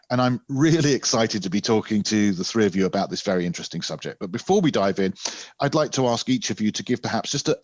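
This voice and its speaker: Male interview host, polite and measured, fairly low voice, dry recording. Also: dry recording. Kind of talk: structured interview hosting.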